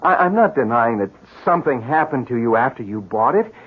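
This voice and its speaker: An actor playing an anxious friend who reasons and pleads haltingly and earnestly in a 1970s radio drama. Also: male voice, mid-range voice, very animated, breathy edge. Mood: pleading, reasoning. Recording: dull lo-fi 1970s radio recording.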